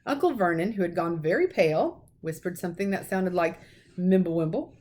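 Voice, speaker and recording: A woman reading a children's story aloud like a teacher, in expressive narration. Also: fairly low pitch, brisk.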